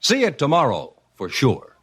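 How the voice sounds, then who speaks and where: A man's voice: medium pitch, wildly swooping pitch, moderate pace, loud music behind the voice. A man announcing in polished, promotional 1950s radio style. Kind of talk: 1950s radio announcing, scripted sales pitch.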